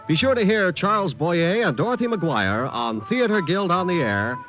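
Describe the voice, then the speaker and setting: A male American radio announcer from the golden age of broadcasting, with a fairly high, very animated voice. His scripted delivery is formal and polished. The recording is muffled, with music behind him. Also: moderate pace.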